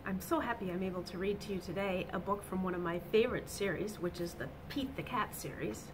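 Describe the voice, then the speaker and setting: A middle-aged woman reading a picture book aloud to children, expressive and deliberate, with emphatic exclamations, in a small room. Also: mid-range voice, noisy background. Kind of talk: animated read-aloud storytelling for children. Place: small indoor office or classroom.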